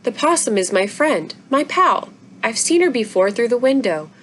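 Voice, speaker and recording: Woman voicing a pleading little girl, high and emotional. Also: very animated, moderate pace. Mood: earnest. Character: young girl, tearful, earnest, protective of her possum. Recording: noisy room recording.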